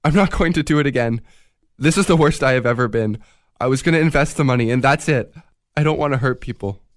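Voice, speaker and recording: A man's voice: medium pitch, quick pace, no echo, natural voice. A guilt-ridden male thief acted by a man in a radio play, with a mid-range voice and a dry sound. He is agitated, stubborn and defensive about what he has done. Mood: anguished, defensive. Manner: agitated, defiant. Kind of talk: radio drama acting.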